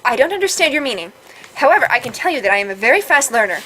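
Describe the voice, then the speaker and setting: High voice, woman playing polite water spirit AI, formal, precise, gently stubborn, visual novel game, noisy recording. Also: very animated, rapid-fire, heavily acted. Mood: formal, evasive. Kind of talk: reading visual novel dialogue aloud.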